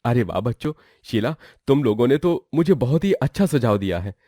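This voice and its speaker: A man's voice: medium pitch, sing-song, a little fast, dark tone, dry recording, clean studio sound. A man playing a worried, plaintive poor pizza seller and family man in a melodramatic moral story. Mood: relieved, grateful. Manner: plaintive, lamenting.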